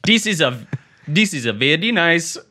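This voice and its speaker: A man's voice: fairly high pitch, very animated, moderate pace, pushed and bright. A man co-hosting a comedy podcast, dropping dry, terse one-liners. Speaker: podcast co-host. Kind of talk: casual comedy banter. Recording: noisy background.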